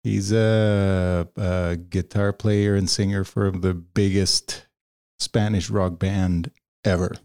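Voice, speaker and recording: A man's voice: low voice, little pitch movement, takes it slowly, darkish tone, smooth. A man from Venezuela, a guest on an interview show, chatting casually and rambling with lots of fillers and restarts. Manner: rambling, many fillers and restarts, laughs often. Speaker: podcast guest, freelance video producer.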